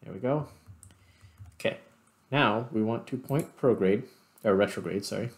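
A man's voice: lowish voice, moderate pace. A man doing casual gameplay commentary as a video host, thinking aloud and correcting himself as he goes.

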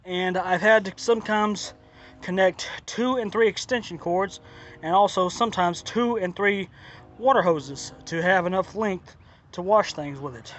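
A man's voice: high-pitched, unhurried, fairly bright tone, a little hiss or hum. A man giving a casual spoken product review outdoors, talking plainly and repeating his complaints, filmed on a handheld phone. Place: outdoors by a driveway and lawn.

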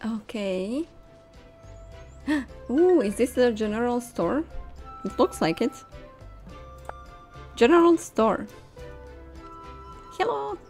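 A woman's voice: a little high, very animated, slow, creaky, long pauses, a little mellow. A young woman musing aloud with delight as she gives casual commentary while playing a game. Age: young adult. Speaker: game streamer. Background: game music.

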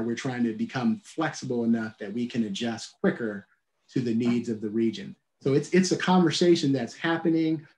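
A middle-aged man, a transit agency leader, answering questions on a video call in a measured way, restarting phrases and filling pauses with "uh". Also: medium pitch, darkish tone. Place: office at home or work. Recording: echoey room on a video call.

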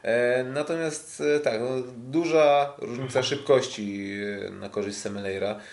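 A man hosting a casual sports podcast, talking in a hesitant, halting way. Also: mid-range voice. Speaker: podcast host. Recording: roomy, echoing sound.

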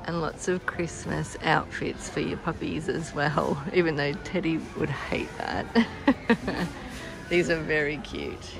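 A woman's voice: deep voice, slow, vocal fry, over music, rough recording. An Australian woman chatting casually as she films a shopping vlog in a large store, often laughing. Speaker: vlogger. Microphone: handheld phone camera.

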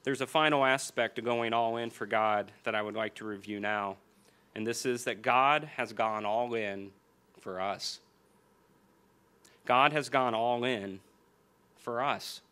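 Earnest American preacher at a lectern. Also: male voice, mid-range voice, fairly even intonation, pushed and bright, smooth. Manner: earnest, slows for emphasis, repeats key phrases. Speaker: pastor. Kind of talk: sermon with scripture reading. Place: church hall stage at a lectern. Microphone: small stand mic on the lectern.

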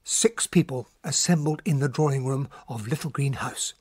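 Actor as Captain Hastings, husky earnest gentleman. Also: medium pitch, normal speed, dry recording, clean studio sound, natural voice. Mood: sombre narrating. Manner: earnest, questioning, polite. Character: earnest gentlemanly captain, the detective's loyal male companion. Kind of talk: radio drama acting and narration.

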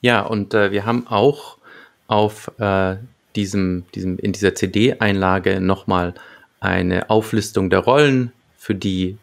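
Slow, gravelly-voiced man. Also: fairly low pitch. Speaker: podcast co-host. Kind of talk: conversational radio-drama review. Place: home room with bookshelves. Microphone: large desktop microphone, close.

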